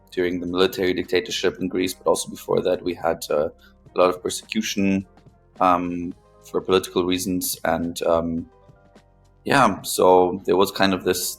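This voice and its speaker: Low monotone guy, podcast guest talking haltingly, pauses a lot. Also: creaky. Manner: hesitant, pausing often between phrases. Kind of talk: interview conversation, recounting own experience.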